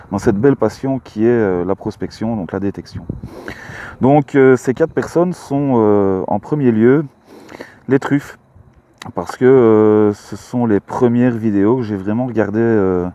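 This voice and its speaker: Chatty Belgian man, low mellow voice. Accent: Belgian French. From Belgium, Luxembourg province. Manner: friendly and chatty, many hesitations. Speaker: metal-detecting vlogger. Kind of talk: casual outdoor talk to camera. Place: outdoors in a mown meadow.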